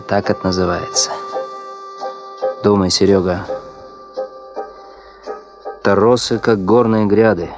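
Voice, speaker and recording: An actor playing an earnest young geologist thinking aloud in a radio drama monologue, musing and unhurried. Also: male voice, low-pitched. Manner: musing, self-addressed, unhurried.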